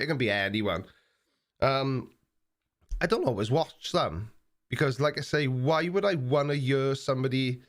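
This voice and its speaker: Aussie bloke in his 40s, live stream chat, laid back, lots of ums. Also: medium pitch. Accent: Australian. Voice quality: relaxed, plain-spoken, frequent ums and repeats. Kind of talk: casual chat reading viewer comments.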